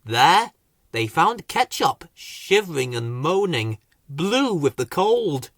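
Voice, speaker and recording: Male storybook narrator, hugely varied intonation, unhurried pace, expressive, dramatic, dry close sound. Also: on the high side, no echo. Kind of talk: scripted children's story narration.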